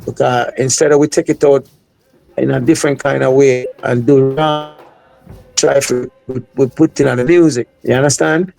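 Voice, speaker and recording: A man's voice: medium pitch, steady tone, moderate pace, vocal fry, darkish tone, soft background music, poor audio. A man from the United States, a reggae artist, talking casually in an interview, full of filler phrases. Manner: rambling, heavy on Jamaican-style filler phrases. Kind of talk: casual interview talk with sung snippets.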